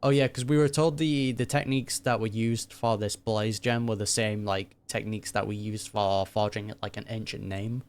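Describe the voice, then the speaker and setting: A streamer giving informal reaction commentary on a game, thinking out loud in a casual way. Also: mid-range voice, steady tone, moderate pace, few pauses, smooth. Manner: informal, musing aloud. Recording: game music behind the voice.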